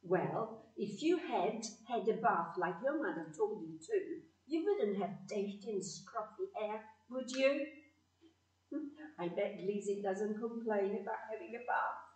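A woman's voice: medium pitch, expressive, a little slow, a little mellow, lightly acted. Casting: woman as scolding but good-humoured auntie, radio play, exclamatory, roomy and a bit off-mic. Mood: chiding. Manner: chiding, exclamatory. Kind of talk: community audio play acting. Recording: echoey room, slightly distant mic.

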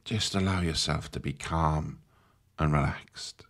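A man leading a guided meditation in a soothing, measured, instructive manner. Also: extremely low pitch, a bit bright.

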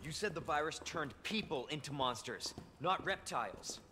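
A man's voice: on the high side, animated, moderate pace, lightly acted. A man playing an earnest, stubborn young rookie cop in a video game, blunt and determined, with an American accent. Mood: incredulous. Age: young adult. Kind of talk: cinematic video game acting. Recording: noisy underground ambience behind.

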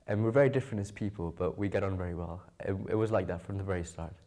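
A man's voice: sits low, quick pace, warm tone. A young man from Scotland, an engineering student giving a casual interview. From Glasgow, Scotland. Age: young adult.

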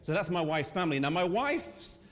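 English pastor with hall echo. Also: male voice, fairly high pitch, very animated. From England. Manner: conversational, self-deprecating, with pauses and fillers. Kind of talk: sermon presentation. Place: church hall with a seated audience. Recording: long church hall reverb.

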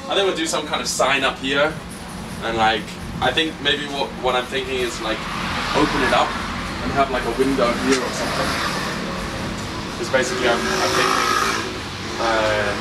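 Young Englishman over loud outdoor noise. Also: mid-range voice. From England. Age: 20s. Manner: hesitant, thinking aloud. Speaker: aspiring restaurateur showing a site. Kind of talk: casual walking tour. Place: outdoors in narrow city alleys. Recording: very noisy outdoor location sound. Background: loud street ambience.

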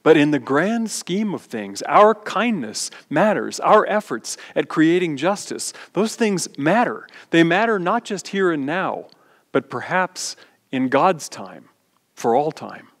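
A middle-aged man preaches a calm, thoughtful sermon, letting his phrases fall away softly at the ends. His voice sits a little high for a man. It rings out in a big sanctuary, picked up from a distance with a long, lingering reverb. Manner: measured, reflective preaching with soft trailing endings. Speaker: pastor. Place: large church sanctuary, standing before the altar. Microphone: far camera.

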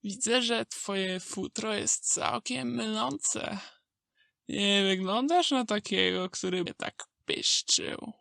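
Woman playing a slow, creaky old scheming wolf. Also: mid-range voice, a bit bright, dry recording, studio quality. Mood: sly, appraising. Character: old scheming male wolf, drug dealer, smooth and contemptuous. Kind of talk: Polish amateur audio drama acting.

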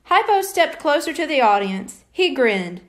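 Female children's audiobook reader, unhurried, expressive storytelling with lively intonation. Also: high-pitched. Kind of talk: scripted children's story narration.

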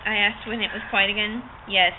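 A woman's voice: mid-range voice, flat delivery, brisk. A young woman giving a theatrical, informal read-aloud of a novel, often breaking into giggles. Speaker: amateur reader. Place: open-sided park picnic shelter outdoors. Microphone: camcorder across a picnic table. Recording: tinny phone-like audio, noisy outdoor picnic shelter.

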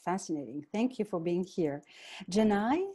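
A woman's voice: on the low side, animated, normal speed, warm tone, slightly rough. A woman hosting a podcast over video call, giving short gracious prompts between speakers. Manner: gracious, brief moderator prompts. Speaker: podcast host.

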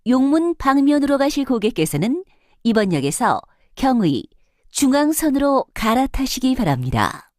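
A woman delivers formal public transit announcements with careful, polite enunciation. Her voice sits slightly high and moves melodically, with a soft, mellow tone. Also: very animated, normal speed, no echo, clean studio sound. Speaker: subway announcer.

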